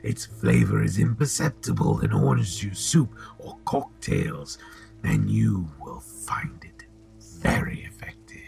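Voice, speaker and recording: A man playing a sly old shopkeeper in an audio drama, slow and insinuating with a gravelly, whispery voice. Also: fairly low pitch, big pitch swings, a little mellow, faint music behind, exaggerated voice. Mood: sly, coaxing. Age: older. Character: sly old shopkeeper selling love potions, oily and knowing.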